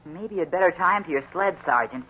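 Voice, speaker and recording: Male actor as wholesome eager boy, high bright voice, earnest, 1940s radio play, muffled recording. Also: fast talker, heavily acted. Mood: friendly, earnest. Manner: earnest, excitable.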